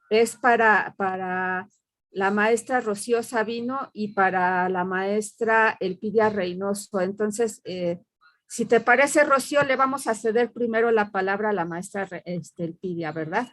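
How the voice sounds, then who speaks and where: A woman moderating a panel discussion, reading out audience greetings with many hesitant fillers. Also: a little high. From Mexico.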